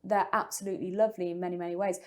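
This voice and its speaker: A woman's voice: fairly low pitch, steady tone, rapid-fire. An English woman, a novelist, talking through her writing in an interview, thoughtful and full of small fillers and restarts. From England. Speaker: novelist guest. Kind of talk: interview conversation.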